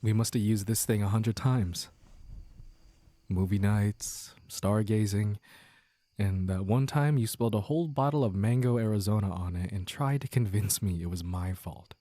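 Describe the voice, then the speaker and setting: A man playing a playful, teasing young man in an intimate romantic audio roleplay, with halting banter and mock-dramatic asides. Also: lowish voice, dark tone, no room sound, natural voice. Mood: warm, nostalgic. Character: playful, teasing young man sneaking out with his childhood sweetheart.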